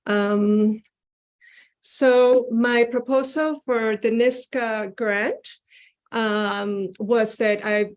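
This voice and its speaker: A woman who grew up in Ecuador presents her own artwork in an online seminar. She sounds earnest and unhurried, and she fills her pauses with "uh" and "um". Her pitch sits in a plain middle range, and she is heard through a video call. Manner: earnest, with frequent "uh" and "um" fillers. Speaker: guest artist presenting her work.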